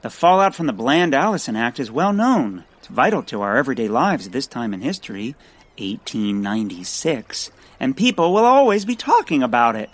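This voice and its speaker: An actor playing a fussy, polite, earnest bird lover, flustered and hesitant, in a comic audio drama. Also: male voice, mid-range voice, big pitch swings, brisk. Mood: earnest puzzlement.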